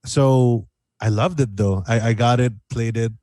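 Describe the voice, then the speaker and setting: Guy guest on a chat show, casual, animated storyteller, repeats himself, mid pitch, close dry sound. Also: unhurried, no echo. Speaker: guest musician.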